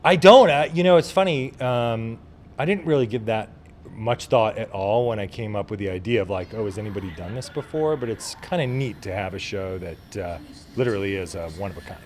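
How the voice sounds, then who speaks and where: A man's voice: on the low side, lively intonation, brisk, darkish tone, a little hiss or hum. A man speaking informally and with enthusiasm as the guest in a casual interview, explaining his work as a writer and producer.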